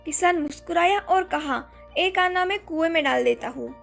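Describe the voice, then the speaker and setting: A woman's voice: very high-pitched, moderate pace, creaky, soft background music. A woman reading scripted moral stories for children as a narrator. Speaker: story narrator.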